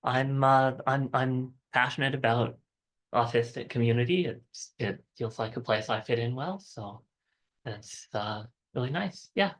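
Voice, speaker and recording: A young woman and software engineer introducing herself on a panel, hesitant and full of fillers. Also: extremely low pitch, a little slow.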